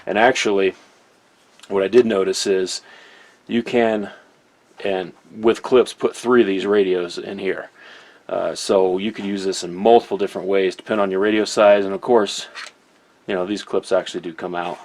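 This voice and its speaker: A man's voice: sits low, fairly even intonation, moderate pace, darkish tone. A man giving a casual, easygoing hands-on product review in an informal, conversational way.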